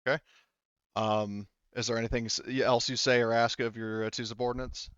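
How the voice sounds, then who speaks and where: A man narrating a tabletop roleplaying game casually as game master, in a halting, conversational way with repeated words. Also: medium pitch, flat delivery, fairly bright tone, clear, resonant tone, dry recording, muffled recording.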